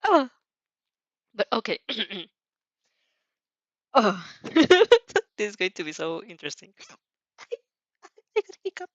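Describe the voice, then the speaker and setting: A woman's voice: mid-range voice, huge pitch swings, takes it slowly, long pauses, dry recording, highs cut off. A woman host chatting casually while reacting to fan fiction, in a giggly, apologetic, scattered way that keeps interrupting itself.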